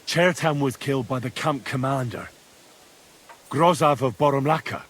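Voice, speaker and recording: An actor playing a young, common medieval soldier, frank and matter-of-fact, in a dramatic video game. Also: male voice, mid-range voice, normal speed, fairly bright tone, slight background noise, lightly acted. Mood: frank, assured.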